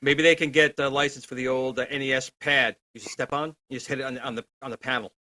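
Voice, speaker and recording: A man co-hosting a retro gaming livestream, chatting in an informal, animated way. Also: mid-range voice, fast, dry recording. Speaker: co-host of a retro gaming show. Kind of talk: casual livestream chat.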